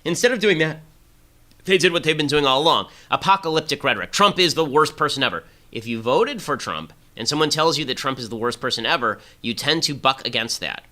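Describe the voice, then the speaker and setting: Rapid-fire man, sharp bright voice. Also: fairly high pitch. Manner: rapid, emphatic, argumentative. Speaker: political podcast host. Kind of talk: fast political commentary and ad read.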